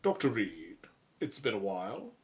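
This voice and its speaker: A man's voice: fairly low pitch, very animated, moderate pace, very noisy. A man playing a haughty, dismissive British museum official in an audio drama, with clipped, pompous formality.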